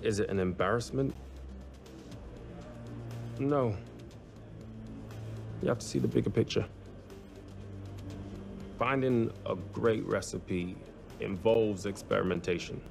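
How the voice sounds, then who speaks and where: An actor playing a hopeful, earnest young racing team boss in a casual, conversational, self-assured way. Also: male voice, fairly low pitch, vocal fry, a little mellow. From South London, England. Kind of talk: documentary-style interview acting.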